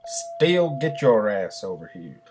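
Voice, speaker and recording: A man's voice: lowish voice, normal speed, a little hiss or hum, natural voice. A man voicing an angry, chain-smoking military lieutenant, barking and profane, in an audio story. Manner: barking, commanding.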